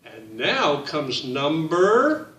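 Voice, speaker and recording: Man reading a kids book super slow and singsongy, bright voice, echoey room, a bit far from the mic. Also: fairly high pitch, wildly swooping pitch. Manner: slow, sing-song reading with drawn-out words, addresses children. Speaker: children's book author reading aloud. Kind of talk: read-aloud storytime for children.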